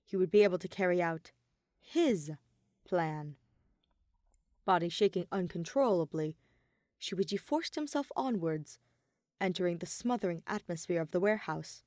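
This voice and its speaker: A woman reading fan fiction as a scripted dramatic narration, with deliberate pauses and emphasis. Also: fairly low pitch, animated, darkish tone, no echo, clean studio sound.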